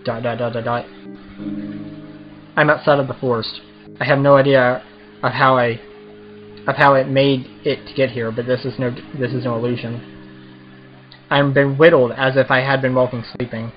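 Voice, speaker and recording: A man's voice: medium pitch, little pitch movement, moderate pace, long pauses, highs cut off, soft background music. A man doing a casual let's play, reading visual novel text aloud and commenting as the host of a gaming video.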